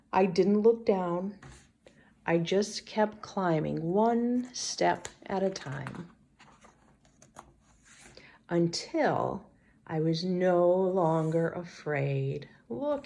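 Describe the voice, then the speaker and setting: Expressive woman reading aloud, unhurried and lively. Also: on the low side, creaky. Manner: pauses to ask children questions. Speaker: teacher reading a picture book aloud to children. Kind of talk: expressive storybook read-aloud.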